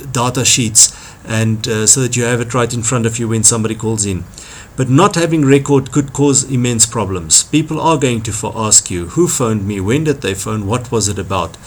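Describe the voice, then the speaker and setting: A man's voice: medium pitch, slight background noise. A man presenting an instructional talk as a trainer, speaking emphatically and repeating key phrases for stress. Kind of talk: instructional talk on workplace skills.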